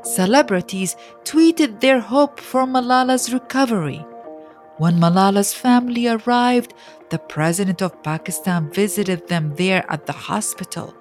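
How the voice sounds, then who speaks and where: A woman narrates a true story for young listeners in a medium-pitched voice. She takes her time, shaping each sentence with deliberate, expressive care. Soft music sits behind her reading. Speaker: audiobook narrator. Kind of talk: scripted children's biography narration.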